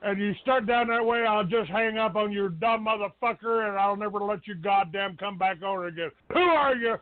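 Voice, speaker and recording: A man's voice: sits high, moderate pace, no room sound. A man hosting a live call-in show in an abrasive, combative, foul-mouthed way. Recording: tinny phone-grade dry audio.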